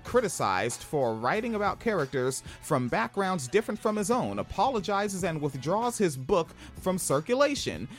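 A man from Washington State with a high-pitched voice, co-hosting a casual podcast, profane and animated, laughing often. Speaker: podcast co-host.

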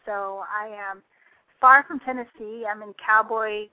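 A woman with a slightly raspy, under-the-weather voice, chatting casually as a radio host calling in. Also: mid-range voice, steady tone, unhurried, dry recording. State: recovering voice, prone to coughing. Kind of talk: casual live chat. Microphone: calling in by phone. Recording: dull, dry call-in audio.

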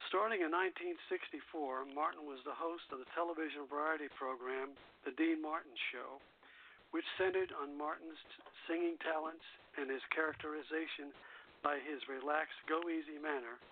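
A man's voice: fairly high pitch, fairly even intonation, normal speed. A man hosting a radio show, reading scripted introductions and narration, with a thin phone-like sound. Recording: phone-like tinny sound over loud music.

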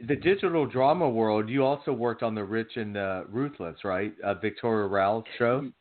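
A chatty man co-hosts a casual talk show, asking long rambling questions. His voice sits a little low. The sound is thin and phone-like. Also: normal speed. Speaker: co-host. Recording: tinny phone line.

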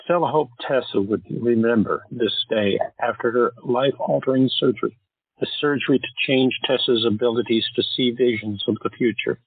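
A man narrating an audio drama in a measured storytelling delivery. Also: medium pitch, slightly rough, no echo. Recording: tinny phone-like sound with faint music.

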